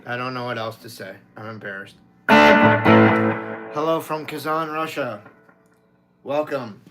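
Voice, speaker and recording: A man's voice: mid-range voice, fairly even intonation, takes it slowly. A middle-aged American man chatting casually as a live-stream host, blunt and informal in the way he talks, with guitar behind him. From United States. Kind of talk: casual live-stream chat reading viewer comments. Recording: loud guitar music behind the voice. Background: guitar playing.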